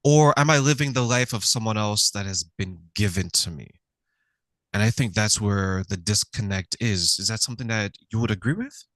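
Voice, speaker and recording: A man's voice: fairly low pitch, moderate pace, no echo. A man hosting an interview, chatting casually and joking, acting out little scenes with playful voices. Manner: humorous, animated storytelling with playful voices. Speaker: host.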